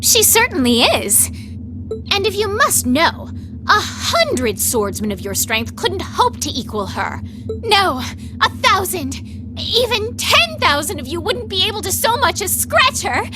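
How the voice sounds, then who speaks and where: A woman's voice: extremely high pitch, very animated, measured pace, over music, full character voice. A woman playing a proud, prickly young female knight in a video game, with haughty, emphatic and formal diction. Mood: boastful. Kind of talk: dramatic video game voice acting.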